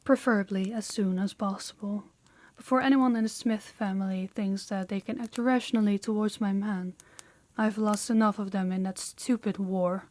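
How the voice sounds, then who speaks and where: A woman playing a dominant, stern mafia boss in an audio roleplay, speaking formally and deliberately with authority. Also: medium pitch, fairly even intonation, a little mellow, no room sound, lightly acted. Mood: composed, businesslike.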